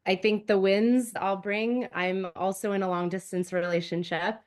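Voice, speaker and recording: A woman giving a candid personal testimonial as a member of a group. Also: fairly low pitch.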